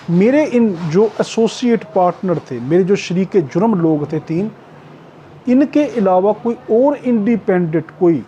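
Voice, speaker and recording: A man's voice: high voice, dark tone, dull audio, slight background noise. A man with a Pakistani accent teaching an exam-prep class as a lecturer, explaining emphatically with repetition and rhetorical questions. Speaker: law teacher.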